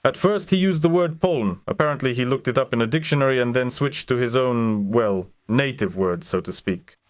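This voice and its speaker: A man's voice: medium pitch, normal speed, no echo, studio quality. A man whose native languages are Ukrainian and Russian, hosting a sarcastic commentary video with mocking asides. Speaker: commentary channel host.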